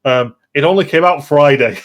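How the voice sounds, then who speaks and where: A man's voice: fairly high pitch, moderate pace. A man hosting a casual podcast chat, rambling along with light banter. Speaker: podcast host.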